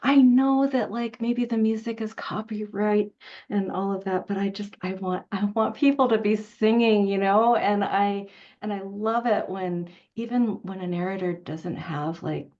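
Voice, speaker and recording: A woman moderating a casual panel discussion, speaking in a halting, rambling and informal way. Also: mid-range voice, darkish tone. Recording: dull, lo-fi audio.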